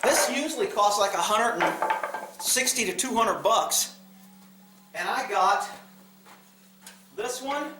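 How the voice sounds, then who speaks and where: A man's voice: sits high, lively intonation, slow, long pauses, background noise. An American man chatting casually as a vlog host, rambling in a blunt, folksy way with mild cursing. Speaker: video channel host. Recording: roomy, echoey sound.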